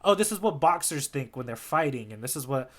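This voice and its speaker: A man co-hosting a podcast, casually explaining things in an animated way and often checking in with "right?". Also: mid-range voice, fairly quick. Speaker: podcast co-host explaining a manga fight.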